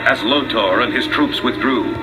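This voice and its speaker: A male storybook narrator with a medium-pitched voice. He delivers scripted children's adventure in a theatrical, measured style, with loud music throughout. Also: rough recording.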